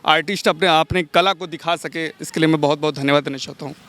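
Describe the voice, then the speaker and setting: High, clear-voiced polite man. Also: steady tone, quick pace, a bit bright. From Jharkhand, India. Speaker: mimicry artist, guest. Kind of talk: interview answers.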